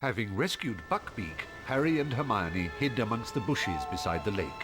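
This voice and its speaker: A man's voice: fairly low pitch, sing-song, normal speed. A man narrating a scripted fantasy story dramatically for a video game. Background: music.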